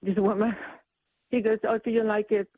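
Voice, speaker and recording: A woman from El Salvador, calling in to a radio talk show. She speaks in a halting, indignant way. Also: medium pitch, little pitch movement, breathy edge, no room sound. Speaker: caller. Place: calling in by phone. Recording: tinny phone line.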